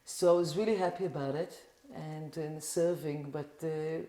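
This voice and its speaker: A middle-aged Israeli woman talks loosely as a podcast guest, with a low, mellow voice. Her sentences wander, full of "like" and "you know". The room around her sounds echoey. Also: steady tone, moderate pace. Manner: rambling, with many "like" and "you know" fillers. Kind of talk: casual interview chat.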